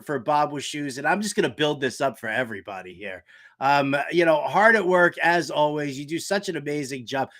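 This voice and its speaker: A man with a fairly high-pitched voice hosts a casual sports talk show. He delivers comedic commentary with theatrical flair, often hammering a word or phrase twice for emphasis. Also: pushed and bright. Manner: joking, theatrical, emphatic repetition. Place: shed used as home studio.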